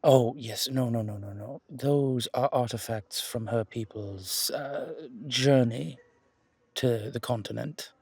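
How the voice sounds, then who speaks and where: A charming male museum guide from a supernatural town, acted by a man, with a gravelly, husky voice. He chats in a genteel, flirtatious way, full of little asides and emphatic repeats, in a close, dry ASMR roleplay recording. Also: mid-range voice, slow, a little mellow, no room sound, heavily acted. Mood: chatty, informative. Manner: chatty, hesitant asides, emphatic repetitions, genteel. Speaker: character performer in an audio roleplay. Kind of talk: intimate ASMR roleplay monologue, conversational.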